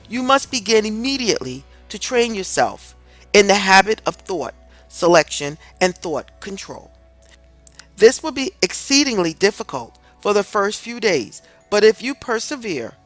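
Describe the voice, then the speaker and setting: A woman reads a scripted self-help audiobook in a deliberate, earnest, instructive way. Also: medium pitch, a bit bright, no echo. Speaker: audiobook reader. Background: music in the background.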